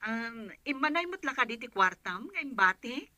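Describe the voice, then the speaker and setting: A woman's voice: on the high side, sing-song, moderate pace, pushed and bright, dry recording, heavily acted. An actress playing an anxious, affectionate mother on a call from abroad in an Ilocano radio drama. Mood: caring, relieved.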